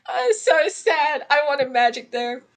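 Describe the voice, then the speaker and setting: Woman runner, slightly high voice, teasing mock-outraged delivery, frequent laughter. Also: big pitch swings, slow pace, fairly bright tone, low-quality recording. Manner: playful, teasing, dramatic complaining, laughs often. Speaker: race runner. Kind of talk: casual post-race chat.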